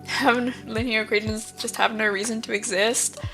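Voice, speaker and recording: A woman's voice: fairly high pitch, flat delivery, moderate pace, over music, rough recording. A woman streaming, chatting casually with viewers, rambling with lots of fillers and a stuffy nose from hay fever.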